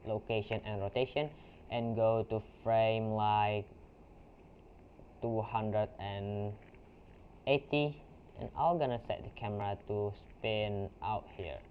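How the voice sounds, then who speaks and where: A young man from Indonesia with an Indonesian accent, a non-native English speaker walking through a software tutorial in a halting way, with restarts and fillers. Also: lowish voice. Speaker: tutorial creator. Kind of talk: software screen-recording tutorial. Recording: tinny lo-fi mic with faint noise.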